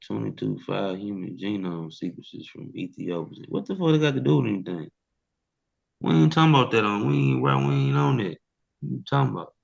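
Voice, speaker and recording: Blunt, profane stream host, gravelly and low, on dull lo-fi audio. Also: male voice, big pitch swings, a little fast. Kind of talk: casual livestream talk.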